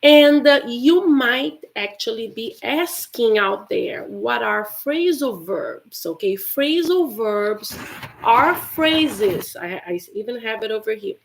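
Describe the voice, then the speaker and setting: A woman's voice: a little high, lively intonation, slow, creaky, slight background noise, poor audio. Brazilian woman teaching english on a livestream, takes her time, chatty, lots of restarts, native portuguese speaker. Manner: chatty, warm to viewers, many fillers and restarts. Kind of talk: casual livestream lesson.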